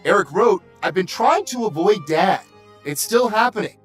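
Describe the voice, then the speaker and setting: A man's voice: high voice, expressive, normal speed, husky, soft background music. A man reporting television news in dramatic scripted narration.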